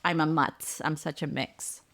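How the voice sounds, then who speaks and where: A middle-aged woman from the United States, raised between France and Colombia, speaking as a coach and podcast guest in a casual interview. She is upbeat and animated and laughs easily. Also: low voice, unhurried.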